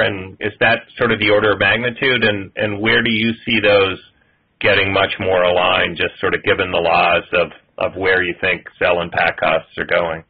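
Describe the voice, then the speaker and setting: Male financial analyst, low-pitched and slightly husky, putting detailed measured questions over a narrow phone connection. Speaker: analyst asking questions on a conference call. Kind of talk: questioning on an earnings call. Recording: muffled, tinny conference phone line.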